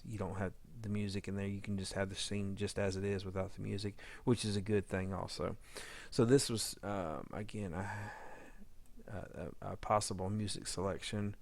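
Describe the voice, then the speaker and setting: A man giving an informal audio editing walkthrough, halting and rambling as he thinks aloud. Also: lowish voice, a little mellow. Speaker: audio drama editor giving a demo. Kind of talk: informal software demo walkthrough.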